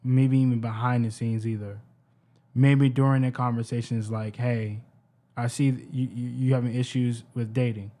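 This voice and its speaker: A man with a high-pitched voice giving heated, profane reaction commentary, repeating himself and stumbling over his words. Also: little pitch movement, normal speed, mellow. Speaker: reaction streamer.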